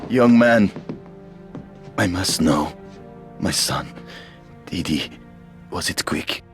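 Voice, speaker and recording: A man's voice: deep voice, expressive, takes it slowly, faint music behind. A male actor playing a grave, worried older father in a video game. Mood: solemn sorrow. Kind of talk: dramatic video game acting.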